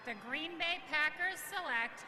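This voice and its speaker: A woman making formal, measured announcements as an event announcer at a large venue. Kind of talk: formal draft pick announcement. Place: large event venue, heard through broadcast. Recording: booming hall PA, loud ambient noise.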